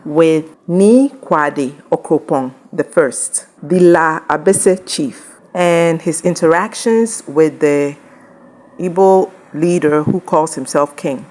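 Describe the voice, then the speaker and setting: A woman's voice: sits low, darkish tone. A woman hosting her own channel, carefully translating an interview into English; Ga is not her first language.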